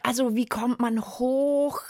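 A woman co-hosting a children's podcast in casual, expressive and playful conversation. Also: fairly high pitch, slow pace. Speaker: podcast co-host. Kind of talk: casual unscripted chat for children.

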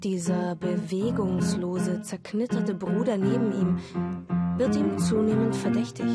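A woman narrating a radio play, reading scripted dramatic prose in measured storytelling phrases. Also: mid-range voice, fairly even intonation, loud music behind the voice.

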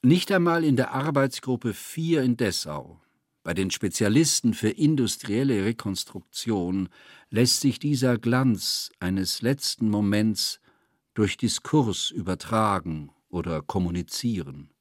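A man with a fairly low voice reads literary prose as a narrator. His delivery is measured and precise, and the sound is close and dry. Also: animated, no room sound.

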